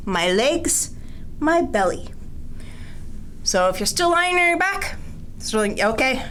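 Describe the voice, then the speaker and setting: A woman with a fairly high voice reads and instructs for young children at bedtime. Her delivery is playful and sing-song, with very lively rises and falls. She is recorded indoors from a little way off, with some noise in the background. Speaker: children's storytime host leading bedtime yoga. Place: indoors, small draped space, lying on the floor.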